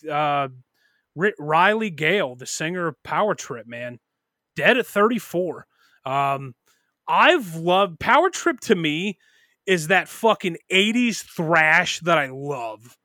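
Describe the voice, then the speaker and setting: Podcast co-host, early 30s man, bright forceful tone, dry close sound, rambling and profane. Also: on the high side, expressive, slow, no echo. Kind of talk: casual podcast chat.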